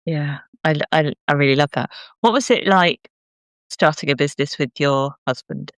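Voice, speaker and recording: A woman's voice: low-pitched, moderate pace, dry recording. A British woman speaking conversationally as a podcast host. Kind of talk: conversational interviewing and promotional talk.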